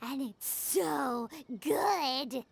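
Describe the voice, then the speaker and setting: A woman voicing a tiny, excitable, childlike fairy companion in a video game, with a squeaky voice and exclamatory manner. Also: extremely slow, vocal fry, no echo, exaggerated voice. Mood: eager, excited. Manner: exclamatory, refers to self in third person. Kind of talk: animated video game voice acting.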